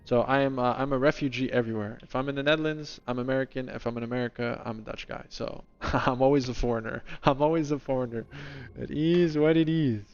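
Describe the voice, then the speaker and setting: A man's voice: medium pitch, steady tone, fast. Guy streaming, chats w viewers, rambles a lot, kinda dull sound. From New Jersey, US. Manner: rambling, chatty, talks to chat by name. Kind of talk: casual stream chat in a lemon persona.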